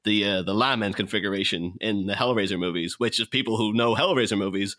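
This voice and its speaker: Bright-voiced man in rambling film chat. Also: fairly low pitch, fast. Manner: rambling, conversational, explanatory. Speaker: podcast guest, co-host of a film podcast. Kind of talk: casual film discussion.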